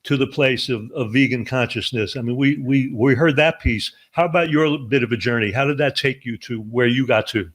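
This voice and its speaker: A male podcast host asks long, earnest questions that keep circling back and restarting. His voice sits at an ordinary middle pitch, and he sounds sincere and intent. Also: moderate pace, few pauses, breathy edge. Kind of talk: interview questions.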